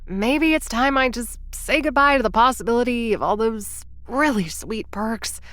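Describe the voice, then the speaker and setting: A woman's voice: a little high, moderate pace, fairly bright tone, no echo, natural voice. A woman playing a bubbly, rambling would-be influencer. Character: scatterbrained young woman, wannabe influencer time traveler. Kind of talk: audio drama narration to the listener.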